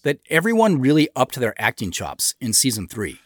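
A man's voice: mid-range voice, huge pitch swings, moderate pace, no echo. A man hosting a scripted behind-the-scenes commentary on an audio drama as its creator.

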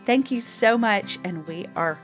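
A woman's voice: mid-range voice, expressive, measured pace, soft background music. Upbeat woman podcast host. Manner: upbeat, thankful. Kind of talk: announcements and appeal.

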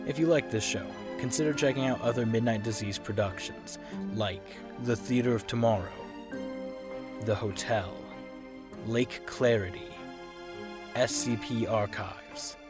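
A man calmly reading podcast credits and show plugs. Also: fairly low pitch, a little slow, long pauses. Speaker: podcast announcer. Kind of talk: read credits and promos. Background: music.

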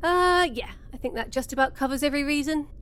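A woman's voice: sits high, fast talker, fairly bright tone. A woman playing a sarcastic, easygoing, foul-mouthed spaceship show host, rambling and hesitant in an audio drama comedy. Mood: rambling, offhand.

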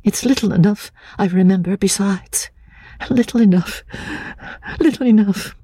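Frail, troubled young woman: high, airy, hesitant, childlike, for a classic audiobook. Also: dark tone, slightly rough. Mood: wistful, troubled. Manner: halting, stammering, repeating words anxiously. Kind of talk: dramatic audiobook reading of classic literature.

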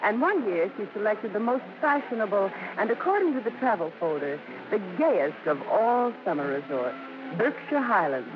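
An actress playing a daughter who narrates fond family memories in a radio drama, with a wry storytelling lilt. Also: female voice, mid-range voice, very animated, moderate pace, lightly acted. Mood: fond, amused. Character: daughter fondly narrating childhood memories of her showbiz parents. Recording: noisy, dull vintage radio recording.

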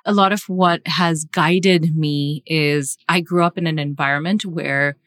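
A woman's voice: sits low, steady tone, measured pace, fairly bright tone, dry recording, studio quality. A woman from Singapore, a guest author on a podcast interview, speaking with passionate emphasis and frequent restarts.

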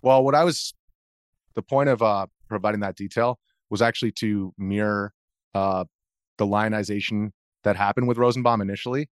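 An American man speaking as an interview guest, earnestly explaining his perspective in halting conversational phrases. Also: fairly low pitch, steady tone, clean tone, no room sound, studio quality. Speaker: interview guest, video journalist.

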